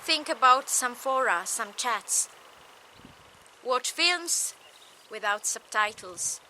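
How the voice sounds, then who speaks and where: Polish-accented lady lecturing real slow, super bright voice, bit of hiss behind. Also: a little high, expressive. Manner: slow, measured advice in short phrases. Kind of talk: recorded lecture.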